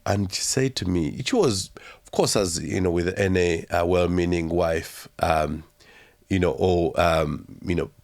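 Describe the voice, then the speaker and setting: A young man with a Ugandan accent, telling his story as an interview guest in a halting, reflective way full of fillers. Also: deep voice, a little mellow.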